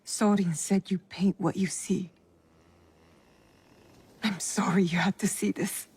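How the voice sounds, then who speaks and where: Game role: wry young warrior woman, raspy middle register, clipped and guarded. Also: mid-range voice, steady tone, slow pace, a little mellow. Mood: soft, serious. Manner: terse, dry. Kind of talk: video game cutscene acting.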